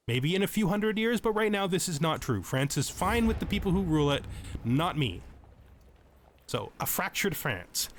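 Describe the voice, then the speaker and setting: A man giving tutorial-style game commentary and reading lore aloud in a measured, explanatory, storytelling way. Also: on the high side, a little hiss or hum. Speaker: let's play host.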